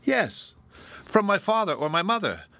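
A man reading aloud and voicing a cheerful, frank young girl from a village who speaks brightly and earnestly. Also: high-pitched, very animated, moderate pace, pitched above the performer's own voice, heavily acted. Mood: admiring.

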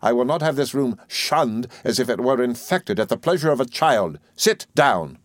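A man's voice: mid-range voice, lively intonation, moderate pace, dry recording, voice pushed up, lightly acted. Man acting as stern stepfather, cold, domineering, formal, grave, commanding, Victorian storybook character, dry sound. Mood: fierce, commanding. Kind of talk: audiobook character in a Victorian novel.

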